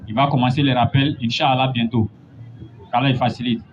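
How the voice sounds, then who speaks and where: A man preaching a sermon, building to emphatic, drawn-out points. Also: medium pitch, fairly even intonation. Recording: dull lo-fi recording with faint noise.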